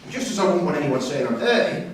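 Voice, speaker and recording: An actor playing a defiant, quick-tempered prisoner and father, blunt and sweary, in a staged play reading. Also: a little high, sing-song. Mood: cocky, insistent. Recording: distant echoey hall with background noise.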